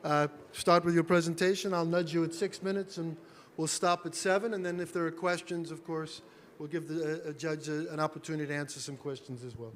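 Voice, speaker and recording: A man's voice: on the high side, steady tone, normal speed, slight background noise. A man chairing a conference panel, introducing the speaker with many fillers. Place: large conference hall. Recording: reverberant conference hall.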